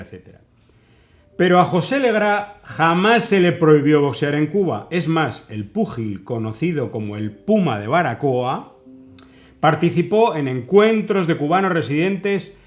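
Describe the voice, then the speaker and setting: Ironic male commentator, lively pitch. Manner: ironic, reads quotes aloud with sarcastic asides. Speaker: co-host. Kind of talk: political commentary show.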